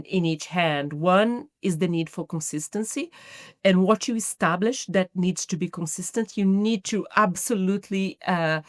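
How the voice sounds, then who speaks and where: A middle-aged woman from Brazil with a Brazilian Portuguese accent, answering podcast interview questions in a thoughtful, measured way with frequent restarts. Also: on the low side, dry recording, studio quality. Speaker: guest, marketing executive.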